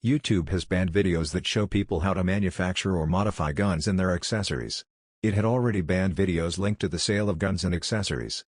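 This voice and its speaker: Low-pitched man reading aloud, dry recording. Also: brisk, husky, no echo. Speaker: exam practice reader. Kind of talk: scripted read-aloud of practice passages.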